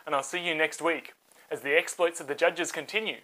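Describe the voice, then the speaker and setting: A woman narrating a scripted children's Bible story in a dramatic, expressive way. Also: deep voice, fairly quick, poor audio.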